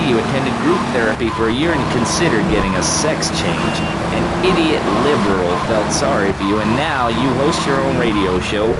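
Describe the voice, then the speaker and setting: A man's voice: mid-range voice, lightly acted. A man playing a smug, bullying conservative politician, condescending and blustering, in a satirical radio debate. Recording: loud car and street noise over it.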